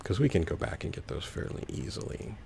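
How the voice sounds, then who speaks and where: A man's voice: low voice, very animated, a little fast, vocal fry, darkish tone, a little hiss or hum. A man giving casual, easygoing gameplay commentary, talking through his actions step by step. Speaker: let's play host.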